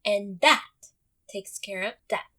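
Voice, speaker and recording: A woman's voice: medium pitch, slow pace, pushed and bright. A woman voicing a fierce old mother squirrel from a children's storybook, scolding and emphatic as she guards her babies. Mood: smug.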